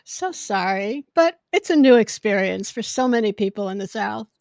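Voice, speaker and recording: A woman hosting a podcast in casual chat, with a loud voice that projects when she gets excited. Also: mid-range voice, moderate pace, creaky, dry recording, clean studio sound. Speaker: podcast host. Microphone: podcast microphone.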